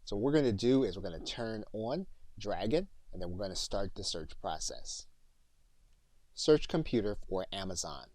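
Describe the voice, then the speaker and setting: Male software trainer, lowish pitch, instructional screen-tutorial narration, dry close recording. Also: sing-song, normal speed, dry recording. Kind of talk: tutorial narration with spoken voice commands.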